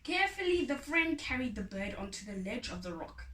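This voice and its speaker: A woman reading a storybook expressively, with deliberate, dramatic phrasing, as a storyteller. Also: fairly high pitch, sing-song, sharp tone. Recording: echoey room, distant from mic.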